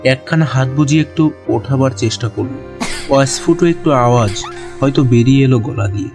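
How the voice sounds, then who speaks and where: Man, medium pitch, dramatic horror narration, suspenseful, deliberate literary delivery, background music. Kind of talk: dramatic first-person horror story narration. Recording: music behind the voice.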